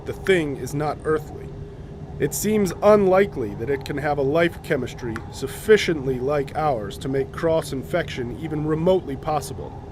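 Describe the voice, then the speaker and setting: Casting: male actor as formal, self-assured scientist, slightly high male voice, loud rumble underneath. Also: expressive, moderate pace, lightly acted. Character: confident, formal male expedition scientist giving reassurance. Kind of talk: audio drama acting.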